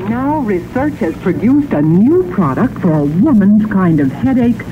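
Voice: mid-range voice, very animated, unhurried, narrow, tinny audio. An announcer reading a scripted 1960 radio commercial on a thin, noisy old broadcast.

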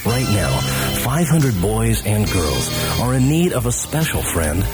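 A man's voice: fairly low pitch, very animated, a little slow. A man reading a scripted public service announcement as an earnest radio announcer. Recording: 1970s radio spot over music.

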